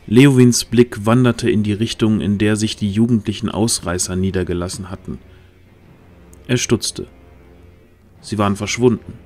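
A man's voice: fairly low pitch, fairly even intonation, normal speed, a little mellow. A man as narrator, reading a scripted fantasy story in a dramatic storytelling style. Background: background music.

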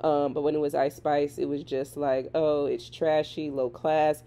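A woman with a Southern US accent talks through a stuffy, congested nose from a cold. She runs a casual gossip commentary, chatty and opinionated, full of slang. Her tone is dark and mellow. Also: sits low, level tone, a little slow. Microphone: voice-over on still images.

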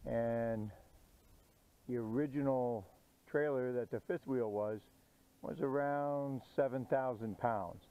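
A middle-aged man casually explaining a welding project outdoors, slow and halting with many "uh" pauses. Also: mid-range voice, smooth, phone-quality sound. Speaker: DIY channel host. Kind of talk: casual how-to narration. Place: outdoors on a grassy yard.

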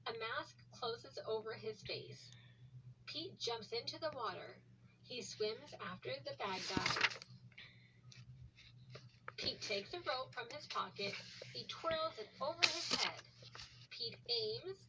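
Woman reading aloud over loud noise. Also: on the high side, expressive, slow pace. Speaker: host reading a picture book aloud. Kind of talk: read-along of a children's story. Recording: noisy, distant home recording.

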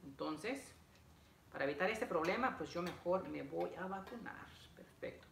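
A man's voice: sits high, lively intonation, normal speed, gravelly. A young adult man, a vlogger, chatting casually and repetitively with viewers while he works with his hands in a living room. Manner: chatty, reads out greetings, repeats phrases. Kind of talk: casual livestream chat with viewers while assembling furniture. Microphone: camera a few feet away. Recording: echoey room, noisy, clicks.

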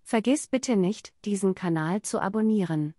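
Mellow woman reading lesson phrases. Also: mid-range voice, lively intonation, normal speed, no room sound, clean studio sound. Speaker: language lesson reader. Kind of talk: slow read-aloud German practice sentences.

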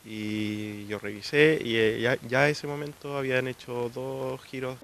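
A man's voice: mid-range voice, steady tone, unhurried, creaky, a bit bright. A man in his thirties with a Chilean accent giving a spontaneous account in an outdoor interview. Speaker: fraud victim interviewee. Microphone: handheld interview mic.

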